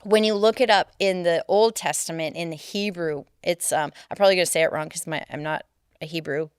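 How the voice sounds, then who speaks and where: A middle-aged woman with a smooth, resonant voice that sits a little low, talking earnestly as a podcast co-host. She turns animated when telling a story. The sound is close and dry, from a studio mic. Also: a little fast, no echo. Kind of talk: casual podcast chat.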